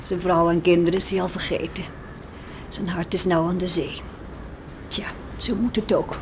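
A woman's voice: on the low side, fairly even intonation, moderate pace, close to an everyday voice. An actress playing a devout, worried farmer's wife and mother in a radio play, earnestly musing aloud to herself. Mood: resigned. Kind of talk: Dutch radio play acting, monologue and dialogue. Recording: faintly noisy radio play recording.

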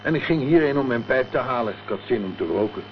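A man's voice: medium pitch, expressive, quick pace, close to an everyday voice. A man playing a plain-spoken, uneasy building caretaker in a Dutch radio drama. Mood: plain, matter-of-fact. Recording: dull old radio recording, faint noise.

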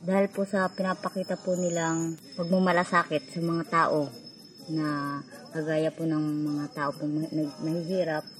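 A woman's voice: deep voice, little pitch movement, moderate pace, soft background music. A woman in her late twenties with a Filipino accent, giving a personal testimony. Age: 20s. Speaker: member of a rural organization giving a testimonial.

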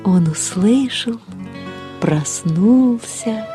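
A woman narrating a story in an audio drama, measured and in short phrases. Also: mid-range voice, lively intonation, music in the background.

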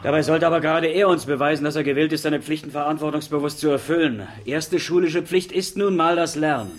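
A man's voice: on the high side, fast talker, lightly acted. A man playing a self-assured, ambitious schoolteacher in a radio drama, speaking in a formal, instructive way. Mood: stern. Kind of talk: 1970s German radio drama acting.

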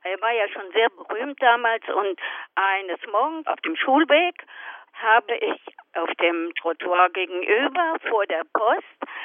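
An older woman recalling memories hesitantly and deliberately, heard over a telephone. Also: mid-range voice, no echo. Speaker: interviewee recalling childhood. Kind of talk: spoken reminiscence. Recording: muffled tinny telephone line.

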